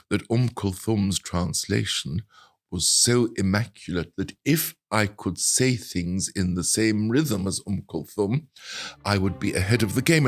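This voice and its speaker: An older man of about seventy with an English accent, telling anecdotes in a reflective, articulate and measured way as an interview guest. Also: lowish voice. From England. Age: older, around 70. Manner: articulate, measured storytelling with precise wording. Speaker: interview guest, translator and writer.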